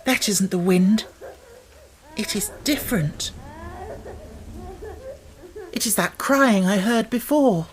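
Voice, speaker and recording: Man voicing a high-pitched, stubborn little girl. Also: slow, pauses often. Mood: hushed, intent. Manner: blunt, defiant, insistent. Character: contrary, stubborn ten-year-old girl, angry and determined.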